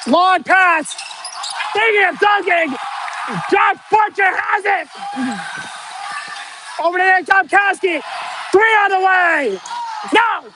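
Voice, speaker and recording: Very high-voiced man shouting hoarse play-by-play. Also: slow pace, pauses often. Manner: excited, shouting the calls. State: strained, losing his voice. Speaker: amateur play-by-play announcer. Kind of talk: live basketball play-by-play commentary. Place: indoor school gym. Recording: noisy gym with ball bounces.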